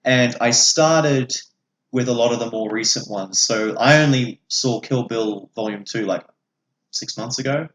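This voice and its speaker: A man's voice: medium pitch, measured pace, fairly bright tone. Sweary young man in an echoey room. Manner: sweary and jokey. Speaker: podcast co-host. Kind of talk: casual film banter.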